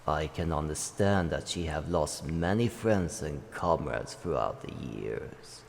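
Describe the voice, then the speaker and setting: A man voicing an ancient dragon guardian, solemn, calm and kindly, speaking slowly in formal, measured phrases in a roleplay addressed to the listener. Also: full character voice. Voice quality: deep, gravelly. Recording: long cavernous reverb, faint background noise.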